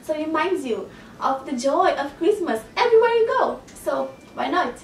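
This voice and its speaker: Chatty Brazilian woman, high voice. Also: expressive, normal speed, slight background noise. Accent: Brazilian Portuguese. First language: Portuguese. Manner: chatty, laughs often, stresses words by repeating them. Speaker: vlogger. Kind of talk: casual to-camera vlog. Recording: roomy, slightly distant camera audio.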